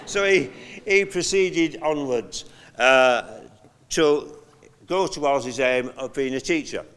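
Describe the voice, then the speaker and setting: An older man giving a halting, conversational memorial eulogy, telling anecdotes from notes with frequent pauses. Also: medium pitch, fairly bright tone, clean tone. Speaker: eulogy speaker, friend of the deceased. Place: large hall at a memorial service. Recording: long hall reverb.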